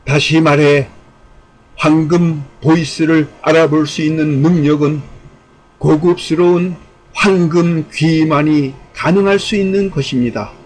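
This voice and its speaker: Higher-pitched man reading formal commentary. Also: steady tone, unhurried, a little mellow, low-quality recording. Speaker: online channel host and commentator. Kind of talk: scripted commentary, read aloud in a formal essay style.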